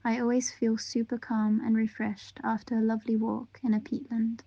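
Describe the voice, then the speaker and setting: A woman reading interview answers aloud in the first person, in an even, gentle and unhurried way. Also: fairly high pitch, creaky, dry recording, muffled recording.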